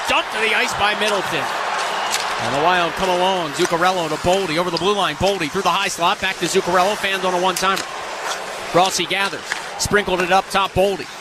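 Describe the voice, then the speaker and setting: High-pitched male hockey play-by-play announcer. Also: heavy background noise. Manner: clipped, vivid running call. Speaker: ice hockey radio play-by-play commentator. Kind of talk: live sports play-by-play commentary.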